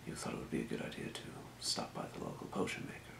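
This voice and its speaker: A man playing a calm fantasy potion shopkeeper in a breathy, measured, courteous whisper for an ASMR role play. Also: roomy sound, background noise, lightly acted. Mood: calm, helpful. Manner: measured, murmuring, courteous merchant patter. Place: small indoor room.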